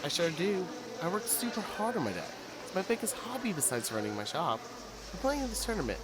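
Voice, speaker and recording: A man plays a teasing, enthusiastic gamer friend in an audio drama. His voice is fairly high and animated, with clear background noise. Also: fairly quick. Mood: warm enthusiasm. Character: enthusiastic, caring gamer shop owner friend. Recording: noisy background ambience.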